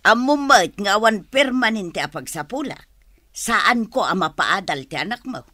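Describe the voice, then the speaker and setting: An actress playing a harsh, resentful old grandmother in a radio drama, scolding loudly with a Filipino accent. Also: mid-range voice, lively intonation, normal speed, no room sound. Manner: scolding, loud.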